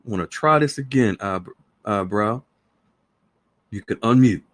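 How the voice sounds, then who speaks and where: A man's voice: lowish voice, a little slow. Guy hosting a call-in chat, laughy and stammery, voice goes all over the place. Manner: animated, laughing, stammering restarts. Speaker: host.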